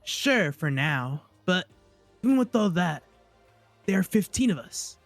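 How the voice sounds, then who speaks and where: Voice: medium pitch, very animated, a little slow, dry recording, faint music behind. An amateur fan-dub performer playing a flustered, sleazy-minded young man who stammers awkwardly. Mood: skeptical, indignant.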